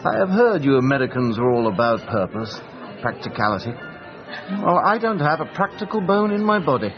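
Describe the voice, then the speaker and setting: A male actor plays a theatrical English eccentric gentleman, self-important and grandiloquent. His voice swings widely in pitch as he declaims in radio drama style. The recording is slightly dull and lo-fi. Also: big pitch swings, fairly quick, over music, lightly acted. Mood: grandly self-important.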